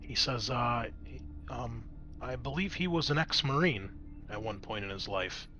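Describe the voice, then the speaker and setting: A man's voice: medium pitch, expressive, measured pace, pushed and bright, lo-fi sound, soft background music. A man narrating a tabletop horror game as game master, improvising in a conversational, explanatory way.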